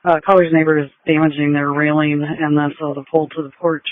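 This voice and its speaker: A man's voice: fairly high pitch, flat delivery, fast talker, no room sound. A man working as a police dispatcher, speaking in clipped, procedural phrases over police radio. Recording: phone-like narrow-band police scanner audio.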